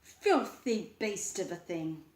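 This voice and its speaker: A woman's voice: on the low side, animated, slow, roomy sound. A woman voicing a haughty, fearful old male skeleton with a leathery, whispery voice in a children's storybook. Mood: disdainful. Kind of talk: children's storybook read-aloud.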